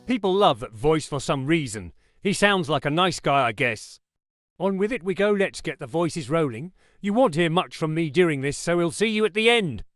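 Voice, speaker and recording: A man casually narrating a tutorial as its host, in a relaxed, chatty way. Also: on the high side, moderate pace, no room sound, clean studio sound, faint music behind. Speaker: tech video host.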